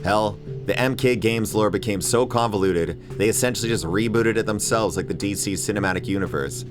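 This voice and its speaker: A man delivering an opinionated, emphatic scripted video essay as host, with casual swearing. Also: lowish voice. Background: music bed under voice-over.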